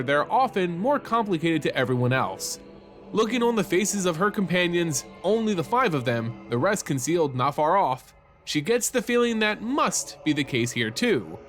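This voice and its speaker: Guy doing scripted story narration, kinda high voice, really lively up and down, dramatic, music behind him. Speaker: host and story narrator of a fan lore channel. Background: music under the voice.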